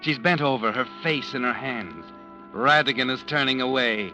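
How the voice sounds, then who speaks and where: A man giving ominous, measured second-person narration for a 1940s radio mystery drama. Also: mid-range voice, poor audio. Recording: music behind.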